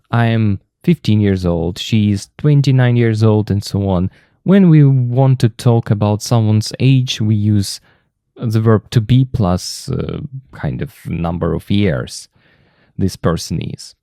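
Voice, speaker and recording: A Russian-accented man walks learners through grammar points at an unhurried pace, repeating each key term. His voice is mellow and lively in pitch, with a dry, close sound. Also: vocal fry, dry recording. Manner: slow, patient, repeats key terms. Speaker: English teacher. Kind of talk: explaining grammar from a textbook.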